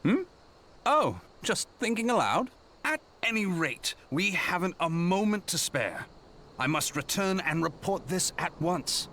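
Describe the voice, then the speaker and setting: Wry adventurer, very lively pitch swings. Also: male voice, measured pace, exaggerated voice. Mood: intrigued. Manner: wry, teasing, theatrical formal diction. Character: wry, urbane male adventurer-scholar, coolly perceptive. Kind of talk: fantasy game cutscene acting.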